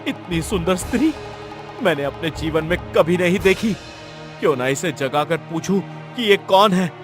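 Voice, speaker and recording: A lovestruck storybook king, acted by a man, with a fairly high voice. He speaks formally and with stately authority, as in a cartoon fairy tale. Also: lively intonation, normal speed, soft background music. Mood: wondering. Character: royal king, commanding, lovestruck by a dream woman. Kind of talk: Hindi cartoon story dialogue.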